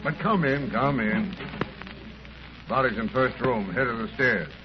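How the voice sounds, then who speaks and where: An actor playing a gruff, stubborn, self-important police inspector in a 1930s radio drama, speaking in blunt, clipped phrases. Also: male voice, medium pitch, a little slow, music in the background, close to an everyday voice. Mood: blunt, dismissive. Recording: noisy, muffled 1930s radio recording.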